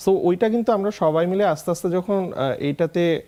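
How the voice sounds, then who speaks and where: A man's voice: high voice, brisk, mellow, dull audio. A man with a Bangladeshi accent talking casually as an interview guest, often switching into English phrases. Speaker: interview guest, food vlogger.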